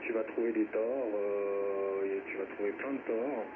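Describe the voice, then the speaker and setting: A man with a fairly low voice talks casually over amateur radio. The transmission is thin and narrow, with clear noise over it. He speaks haltingly, repeating words and tagging his phrases. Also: steady tone. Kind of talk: casual radio contact chat. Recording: narrow, very noisy shortwave radio reception.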